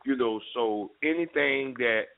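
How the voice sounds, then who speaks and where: A man who hosts a radio show, talking conversationally and haltingly as an interview guest. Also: medium pitch, gravelly. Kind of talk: conversational promotional interview talk. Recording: thin phone-like line.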